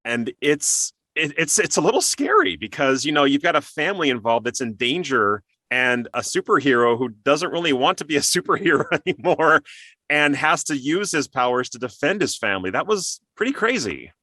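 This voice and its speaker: A man's voice: on the high side, sing-song, normal speed, bright, forceful tone, no room sound, clean studio sound. A man hosting an interview in a casual, conversational style. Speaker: interview host.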